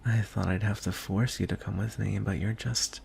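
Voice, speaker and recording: Lonely, courtly dragon suitor acted by a man, fantasy ASMR; hushed, lowish creaky voice over faint noise. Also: steady tone. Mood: pleased, surprised. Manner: soft, hushed, breathy delivery with deliberate pauses. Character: lonely, courtly male dragon wooing a prince.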